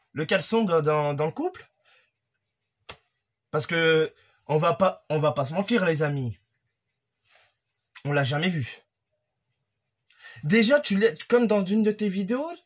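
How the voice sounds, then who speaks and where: Husky-voiced man ranting heatedly to camera. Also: sits high. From France. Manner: heated and accusatory, talking straight to the target. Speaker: YouTuber commentator. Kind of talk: casual rant to camera. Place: room at home with a creaky wooden floor.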